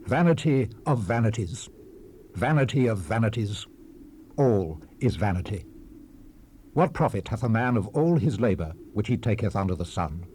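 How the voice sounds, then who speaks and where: An actor playing a grave, world-weary preacher-king of ancient Israel in a dramatized scripture recording, declaiming in a solemn, measured, theatrical manner. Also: on the low side, big pitch swings, a little mellow. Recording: unprocessed 1978 cassette rip with hiss.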